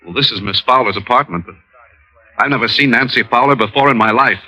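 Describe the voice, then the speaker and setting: A man's voice: medium pitch, fairly quick, sharp tone, faint music behind, low-quality recording. An actor playing an agitated, indignant American businessman protesting as a suspect in an old radio noir drama. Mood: frightened. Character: panicky, indignant male business executive, a murder suspect. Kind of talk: 1940s-50s radio noir acting.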